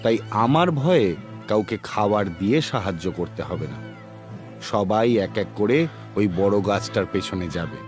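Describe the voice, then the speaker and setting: An actor voicing a strict older teacher in a cartoon, speaking sternly in a measured, lecturing manner. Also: male voice, on the low side, animated, lightly acted. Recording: music playing behind the voice.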